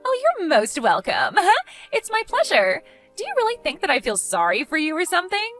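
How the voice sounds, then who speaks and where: A woman's voice: high-pitched, wildly swooping pitch, fast, fairly bright tone, faint music behind, full character voice. A woman voicing a catty, condescending, gossipy sister-in-law in an exaggerated, melodramatic style for a dramatized story. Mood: smug mockery. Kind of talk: dramatized text-message story voice acting.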